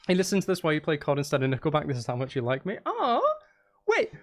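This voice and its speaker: A man's voice: fairly high pitch, huge pitch swings, fast talker. A man chatting casually on a live stream as he makes music, geeky and playful, thinking aloud in a rambling way.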